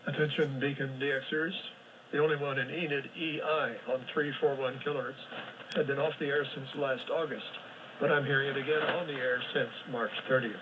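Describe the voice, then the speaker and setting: A man hosting a shortwave radio news show, reading listener reports and frequency lists in a brisk, matter-of-fact way with dry sarcasm. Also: mid-range voice, fairly even intonation. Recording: distant, faintly noisy shortwave radio relay.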